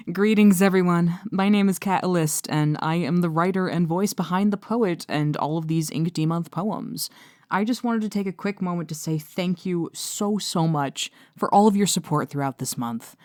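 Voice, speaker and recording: A woman's voice: on the low side, normal speed, darkish tone, no room sound, clean studio sound. A woman giving a casual, chatty thank-you outro to an audience in an enthusiastic, gushing, informal way. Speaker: writer and voice actor thanking audience.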